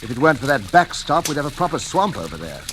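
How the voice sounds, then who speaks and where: A man's voice: medium pitch, very animated, fast talker, a bit bright. An actor playing a genial middle-aged father and host with a British accent, fussy and placating, in 1940s radio drama. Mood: fussy, pleased. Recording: noisy old-time radio recording.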